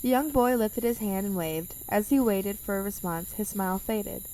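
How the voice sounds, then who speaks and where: A woman's voice: medium pitch, moderate pace, dark tone, far from the mic, slight background noise, poor audio. A woman reading scripted story narration for a radio drama.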